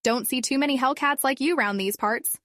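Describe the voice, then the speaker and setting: A woman's voice: high voice, brisk, fairly bright tone, dry recording, pitched above the performer's own voice. Tough farm imp mom, wistful, country talk, a woman acting her, close dry read.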